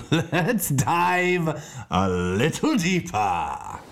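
A high-pitched, wildly swooping showman played by a man hosts mock football news. He is bombastic and theatrical, stretching his words out slowly like a grand announcer. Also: wildly swooping pitch, vocal fry, heavily acted. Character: bombastic male football show host, theatrical and showy. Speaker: host of a football comedy show. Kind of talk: mock sports-news presenting, scripted.

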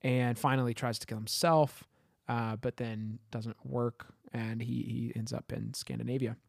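Male podcast co-host, rambling and word-searching, mid pitch, dry close mic. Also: steady tone, measured pace, vocal fry, dry recording. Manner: rambling, searching for words, with "you know" and "I mean". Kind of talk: casual chat.

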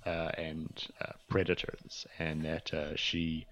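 A man narrating as game master in a halting way, full of fillers and restarts. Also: very deep voice, monotone, fairly bright tone.

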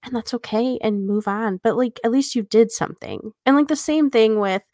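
A woman's voice: a little high, moderate pace, mellow, dry recording, studio quality. A woman co-hosting a podcast, talking casually and earnestly as she explains her view and poses questions. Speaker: podcast co-host.

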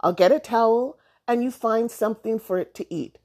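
A woman voicing a dry, matter-of-fact old grandfather in a children's storybook, gruff but yielding. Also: fairly high pitch, normal speed, mellow, pitched above the performer's own voice, close to an everyday voice. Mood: resigned.

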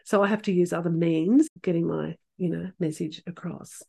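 A soft-voiced woman in her sixties, her lowish voice carrying a gravelly, creaky edge. She talks in a relaxed, chatty way, doubling back on words as she goes. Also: normal speed. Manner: chatty, laughs often, restarts words. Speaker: PE teacher, guest. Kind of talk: casual interview chat.

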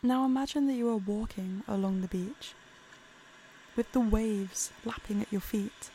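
A woman narrating a guided meditation in a calm, soothing, unhurried way. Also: medium pitch, a little hiss or hum, low-quality recording.

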